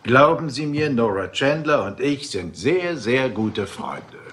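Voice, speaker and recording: An actor playing a smug Hollywood gossip reporter in a German radio crime drama, cool, dismissive and clipped. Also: male voice, mid-range voice, big pitch swings, unhurried, noisy background. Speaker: gossip columnist.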